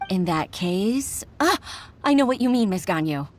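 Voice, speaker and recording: An actress playing an earnest, flustered young junior clerk in a video game, hesitant and deferential in manner. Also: female voice, medium pitch, lively intonation, normal speed, natural voice. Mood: surprised, eager.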